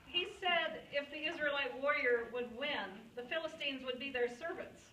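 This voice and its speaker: A woman's voice: high-pitched, normal speed, sharp tone. A woman narrating a puppet show in a storytelling style. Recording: echoey room, distant mic, clear noise.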